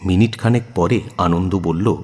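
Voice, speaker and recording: A man's voice: low-pitched, moderate pace, darkish tone. A man narrating a scripted audio story expressively, in the role of narrator.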